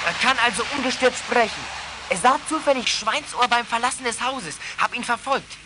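A high-voiced performer, heard as female, playing a dutiful young boy reporting by walkie-talkie in a children's audio drama. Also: normal speed, background noise, lightly acted. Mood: alert. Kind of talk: German children's radio play acting.

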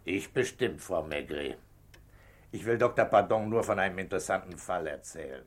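Low-voiced, brooding police inspector. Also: male voice, lively intonation. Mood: dry, evasive. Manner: terse, commanding, deliberate. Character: brooding, dutiful male police inspector, gruff but caring. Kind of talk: 1960s German radio play acting.

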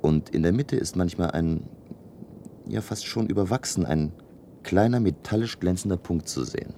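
Contemptuous astronaut, deep voice, halting repetitive phrasing, emphatic, radio drama, faint background noise. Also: male voice, warm tone. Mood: hesitant, secretive. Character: male space crewman, indignant, baffled, contemptuous grumbler. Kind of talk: German radio play acting.

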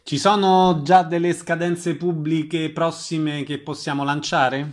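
A man's voice: on the high side, flat delivery, slow pace. A man working as an interviewer, putting questions to a guest. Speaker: radio interviewer.

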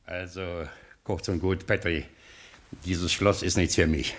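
Deep, husky, anxious gangster boss. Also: male voice, steady tone, a little slow, fairly bright tone, exaggerated voice. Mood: gruff confiding. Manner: stammering, hesitant, confiding, flaring into pleading bluster. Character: ruined American gangster boss, blustering, anxious and self-pitying. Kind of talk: German radio play acting.

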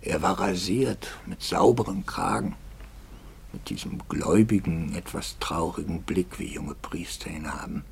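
An actor playing a troubled, anxious priest in a 1950s German radio drama, brooding and articulate, moving between hushed and impassioned speech. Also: male voice, low voice, a little slow, vocal fry, slight background noise. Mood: bitter, impassioned. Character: troubled, anxious priest, intense and probing, quietly bitter.

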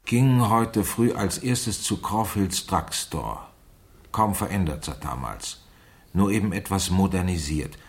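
An actor playing a wry, deliberate investigator in a German radio crime drama. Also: male voice, sits low. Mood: reading, dry. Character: wry investigator reading aloud a girl's diary.

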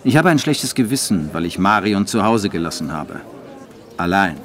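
Dry postwar writer, lowish voice, clipped, understated, detached, radio play narration. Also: male voice, very animated, normal speed, a bit bright. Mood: dry, guilty. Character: wry, detached male writer narrating his postwar diary.

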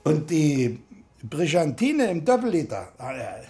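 An actor playing an older, opinionated ship-club member in a radio drama, talking colloquially in a gravelly voice. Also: male voice, fairly high pitch, sing-song, a little mellow. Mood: confiding, bitter. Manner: colloquial, halting asides. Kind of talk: naturalistic radio drama acting.

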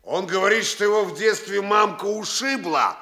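An actor playing a self-assured, talkative provincial judge in a radio play. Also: male voice, sits high, animated, normal speed, pushed and bright, full character voice. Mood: insistent. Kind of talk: studio radio play acting.

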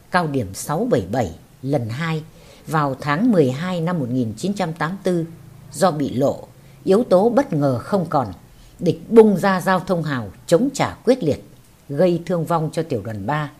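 A woman with a husky voice reading a war memoir as scripted audiobook narration. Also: low-pitched, sing-song, unhurried, darkish tone.

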